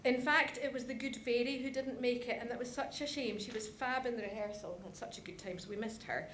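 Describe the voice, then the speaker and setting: A woman's voice: on the high side, quick pace, fairly bright tone. A woman giving an informal conference presentation, recounting how a show was put on. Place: conference hall. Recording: echoey room, faint background noise.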